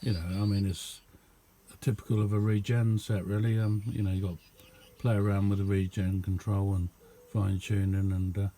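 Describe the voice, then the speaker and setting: A man's voice: lowish voice, level tone, moderate pace, warm tone, slight background noise. A man giving a casual, unscripted show-and-tell as a hobbyist, rambling along in an understated way. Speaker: hobbyist demonstrating a homemade radio.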